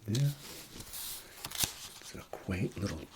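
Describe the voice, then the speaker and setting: Slow, low-voiced man playing a shady tax man. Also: animated, heavy background noise. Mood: quietly boastful. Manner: hesitant, rambling, murmuring. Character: shady, chatty small-time male tax man. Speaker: ASMR roleplayer. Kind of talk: soft-spoken ASMR roleplay.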